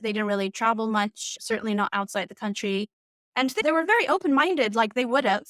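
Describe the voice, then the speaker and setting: A woman from Turkey whose first language is Turkish, a coach guest on a podcast, chatting casually and warmly with many fillers. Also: a little high, fairly quick, no echo, clean studio sound. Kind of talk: casual interview chat.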